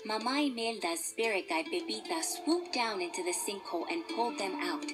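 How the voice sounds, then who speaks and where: A woman reading a children's storybook aloud as the storyteller, in an expressive scripted delivery. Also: medium pitch, moderate pace. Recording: music behind the voice.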